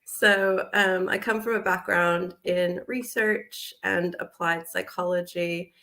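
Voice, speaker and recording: A woman's voice: lowish voice, fairly even intonation, slow pace, sharp tone. An American woman in her forties, a guest in a casual interview, talking animatedly with frequent laughs and fillers. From United States.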